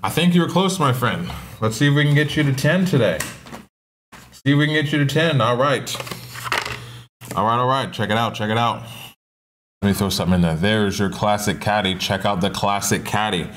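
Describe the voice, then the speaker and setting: A man's voice: lowish voice, normal speed, pauses often, fairly bright tone, slight background noise. Upbeat man hyping a live auction. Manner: repetitive, upbeat, hyping each item. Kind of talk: live-stream auction patter.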